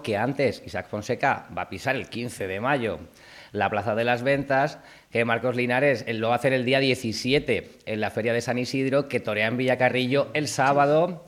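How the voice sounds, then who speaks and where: A man hosting a talk show, chatting casually and teasing his guests as he wraps up. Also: fairly low pitch. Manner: jovial, teasing, thanks guests warmly. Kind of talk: casual chat, wrapping up the show.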